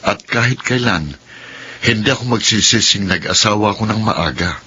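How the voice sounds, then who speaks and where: A man's voice: fairly low pitch, normal speed, very noisy, lightly acted. A man playing a young husband and father in a radio drama, teasing and patient. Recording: dull lo-fi radio recording.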